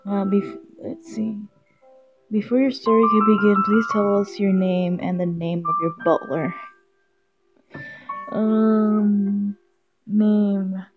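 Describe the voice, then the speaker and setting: A woman live-streaming a game, chatting casually and haltingly as if half to herself. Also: medium pitch, fairly even intonation. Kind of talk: casual live-stream chat while setting up and playing a visual novel. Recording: dull lo-fi mic with music behind.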